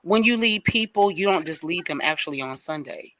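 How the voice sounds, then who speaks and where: A woman's voice: fairly low pitch, expressive, brisk, fairly bright tone, a little hiss or hum. A woman hosting an interview on a remote call, affirming guests and putting questions to them in a conversational way. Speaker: show host.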